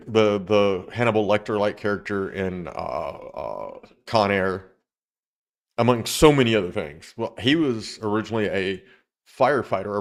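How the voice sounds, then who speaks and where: A man streaming live, chatting casually and rambling a little as he talks straight to his viewers. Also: fairly low pitch.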